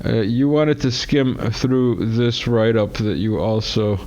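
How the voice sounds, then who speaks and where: A man's voice: medium pitch, steady tone, slow, darkish tone. A man hosting a casual talk show, laid-back and hesitant, with frequent fillers and laughter. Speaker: host. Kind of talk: casual interview chat. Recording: slightly dull lo-fi sound.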